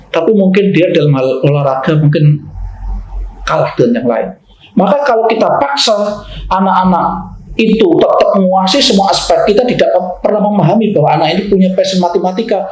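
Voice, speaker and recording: Higher-voiced man lecturing emphatically. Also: darkish tone. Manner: didactic, emphatic, repeats phrases and poses rhetorical questions. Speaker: opinion show commentator. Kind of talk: unscripted opinion commentary in an explanatory, lecturing style. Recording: roomy, echoing room sound.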